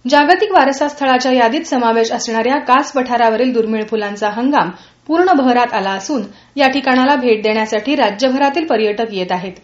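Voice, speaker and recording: A woman reads a scripted regional radio news bulletin in a formal, measured way. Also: on the high side. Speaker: radio newsreader. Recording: dull band-limited radio audio, roomy echo.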